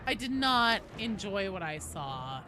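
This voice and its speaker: A woman's voice: medium pitch, sing-song, measured pace, noisy background. A woman game streamer chatting casually with viewers as she plays, blunt, sweary and given to dramatic emphasis, with game sounds behind her. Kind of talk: casual live gameplay commentary, chatting with viewers.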